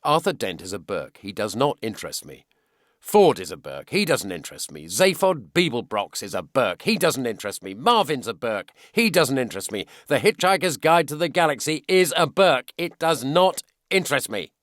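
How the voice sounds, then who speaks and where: A man's voice: medium pitch, sing-song, moderate pace, fairly bright tone, no room sound, clean studio sound. An actor with a British accent playing a grumpy, scornful man in a comic radio performance, blunt and repetitive in his irritation. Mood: irritable scorn. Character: grumpy, scornful man dismissing everyone as idiots.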